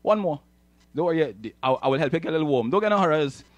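A man's voice: fairly high pitch, animated, quick pace, dry recording. A man with a Trinidadian accent preaching emphatically in a sermon style, repeating phrases and prompting his listeners to agree, speaking into a handheld microphone. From Trinidad and Tobago. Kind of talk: animated sermon with audience call-and-response. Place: church hall, speaking from the stage.

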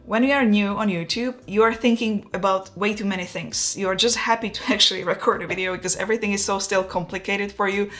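A woman's voice: mid-range voice, quick pace, few pauses. A woman in her forties from the Czech Republic with a Czech accent, chatting casually and laughing at herself as a photography vlogger, recorded on a lapel mic in a home studio. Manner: relaxed, chatty, self-deprecating, gestures with her hands. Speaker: photography YouTuber. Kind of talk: casual talking-head vlog. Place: home studio room, indoors. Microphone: wireless lapel mic.

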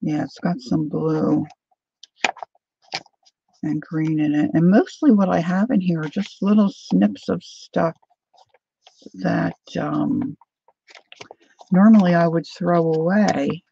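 A woman hosting a casual crafting live stream, chatting softly and unhurriedly with viewers while she cuts paper at her craft table. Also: on the low side, fairly even intonation, slow pace, vocal fry, pauses often, lo-fi sound, a little hiss or hum. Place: indoor craft table, overhead camera.

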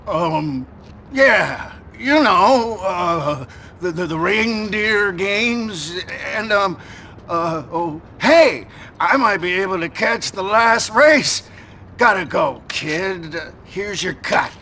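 Shifty grifter Santa, game cutscene: high, forceful, blustering, greedy. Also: male voice, expressive, slow pace, a little hiss or hum, exaggerated voice. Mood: gleeful, evasive. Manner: blustering, stammering when evasive. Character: shifty, greedy grown man in Santa suit, gambler. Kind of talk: video game cutscene acting.

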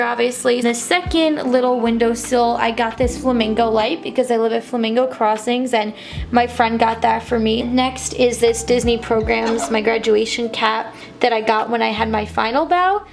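A young woman with a slightly high, raspy voice, a little stuffy from allergies. She rambles cheerfully with lots of "like" and "um" while filming her small bedroom on a handheld phone. Music plays clearly underneath her talk. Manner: chatty, upbeat, rambling with fillers. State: congested from allergies. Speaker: college program intern. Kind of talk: casual room tour vlog. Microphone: handheld phone camera.

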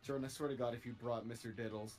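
A man's voice: mid-range voice, fairly even intonation, racing, a little mellow, soft background music. A man bantering casually with friends over a video game in a teasing, mock-exasperated way. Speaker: player in an online gaming group.

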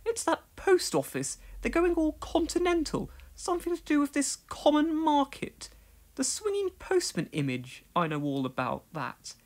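A fussy older village lady chatters and frets in a high, swooping voice, put on by a woman reading a storybook. She sounds prim and easily flustered, her voice lifting well above the teller's own. The voice is lively and full of sudden rises. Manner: prim, chattering, quick to fret.

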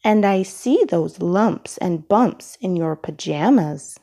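A woman's voice: on the low side, animated, slow, mellow, slightly rough, exaggerated voice. A woman voicing a bossy but caring young rabbit big sister in a storybook, with a firm, instructing manner.

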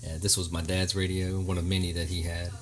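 A middle-aged man giving a casual hands-on demonstration in a halting, hesitant way, recorded on a handheld camera. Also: low-pitched, flat delivery, a little hiss or hum. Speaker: homestead vlogger. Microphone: handheld camera, speaker behind it.

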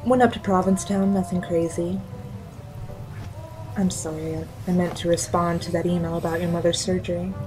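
A woman's voice: on the low side, steady tone, normal speed, over music, natural voice, low-quality recording. An actress playing a level-headed, blunt woman in a naturalistic audio drama. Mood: guarded, polite.

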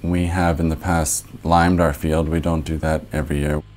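A man's voice: very deep voice, level tone, normal speed, darkish tone. A young American man, a farmer, explaining soil preparation in a matter-of-fact, methodical way in a documentary interview recorded indoors on a lapel mic. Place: living room, indoors.

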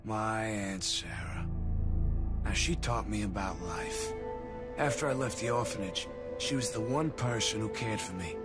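Low, brooding young New hitman played by a man. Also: music in the background, lightly acted. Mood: grim reflection. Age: young adult. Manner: hushed, brooding, deliberate. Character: brooding young male hitman, haunted and resolute. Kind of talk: dramatic monologue in a video game.

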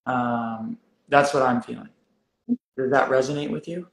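A man in his thirties hosting a live wellness stream, calm and gently guiding, with slow deliberate phrasing. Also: medium pitch, reverberant, poor audio. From South Africa, raised in Canada. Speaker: wellness host. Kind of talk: live-stream coaching chat. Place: room indoors.

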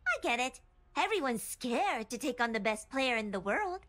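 A high, bright and very lively young woman, cocky and playful like a star gamer in a video game. She teases and brags with cheek. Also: normal speed. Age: young adult. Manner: cheeky, bragging, teasing.

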